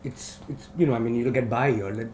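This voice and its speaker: Very low-pitched woman interviewer. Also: steady tone, brisk, mellow, rough recording. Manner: informal, probing, reacts with short interjections. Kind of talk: casual interview chat. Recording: faint background noise and ambience.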